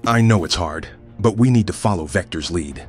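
Man voicing a serious, expressive chameleon ninja. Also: low voice, faint music behind. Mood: grave, urgent. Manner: formal, measured, earnest. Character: serious, disciplined adult male chameleon ninja. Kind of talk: comic dub voice acting.

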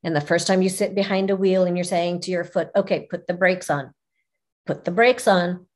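A middle-aged woman whose first language is Arabic, telling personal stories as an interview guest in an expressive way, repeating phrases for emphasis. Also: on the low side, moderate pace. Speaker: podcast guest, image consultant. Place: small furnished room indoors, video call. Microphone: video call, seated at webcam distance.

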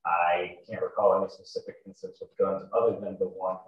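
A man's voice: low-pitched, steady tone, fairly quick, dull audio. A young man testifying as a police officer in court, formal, terse and matter-of-fact in procedural phrasing. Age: young adult. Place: courtroom, heard over a video call. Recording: distant, echoey, faintly noisy courtroom video call.

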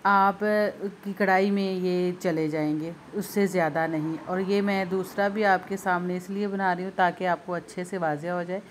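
A woman's voice: lowish voice, fairly even intonation, normal speed, hardly any pauses, slight background noise. A woman demonstrating a recipe step by step in her home kitchen, speaking patiently to viewers and repeating her instructions. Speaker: home cooking video host.

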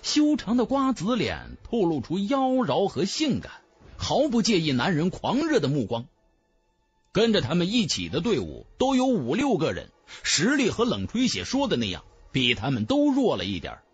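A man's voice: high voice, wildly swooping pitch, moderate pace, breathy edge, lo-fi sound, faint music behind. A man reading a fantasy novel as a dramatic audiobook narrator.

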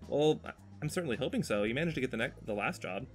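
A man's voice: mid-range voice, animated, rapid-fire, soft background music. A man chatting casually and talkatively on a podcast while he draws, with a joking, exasperated manner. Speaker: podcast host.

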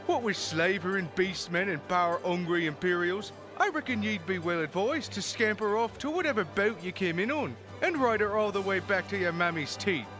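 Leering tavern keeper, animated, folksy pirate talk, fantasy game fan dub, music behind. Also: male voice, high-pitched, normal speed, few pauses. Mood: mocking welcome. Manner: folksy, mocking pirate-style patter. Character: jovial, leering tavern keeper in a port town.

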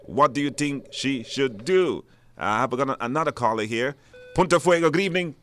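A man's voice: medium pitch, a little fast, a bit bright. A man with a Belizean accent hosting a live radio call-in show, putting questions to callers. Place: radio studio.

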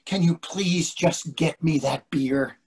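A man's voice: a little high, slow pace, vocal fry. A man in his sixties playing a grumpy, argumentative, hungry husband in a readers theatre play. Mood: impatient. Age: 60s. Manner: exasperated, argumentative. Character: grumpy, hungry middle-aged husband out at dinner. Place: indoors on a video call.

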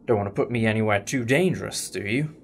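A man's voice: on the low side, quick pace, vocal fry, a little hiss or hum. A man playing a driven, defiant time-travelling hero in a fan audio drama, earnest and dramatic.

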